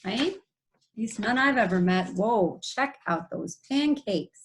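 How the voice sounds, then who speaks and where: Animated woman reading a children's story aloud. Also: medium pitch, takes it slowly. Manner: explains words as she reads. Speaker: read-aloud host.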